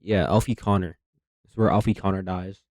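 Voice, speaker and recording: A man giving casual, rambling commentary as a host, slangy, repeating himself and thinking out loud. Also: sits low, fairly even intonation, a little fast, no echo, clean studio sound. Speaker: theory video host reacting to horror series.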